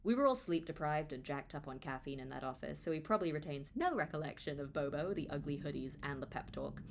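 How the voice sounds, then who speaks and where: A woman's voice: sits low, expressive, fast talker, gravelly, slight background noise. A young woman reading her own book aloud as its author, in an informal, conversational storytelling manner with casual asides. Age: young adult. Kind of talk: audiobook-style read-along with casual asides.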